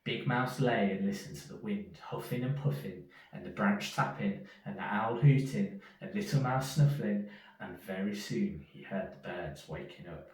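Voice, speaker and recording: A man reading a children's story aloud in an expressive storyteller's manner. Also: on the low side, normal speed. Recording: echoey room, distant from mic.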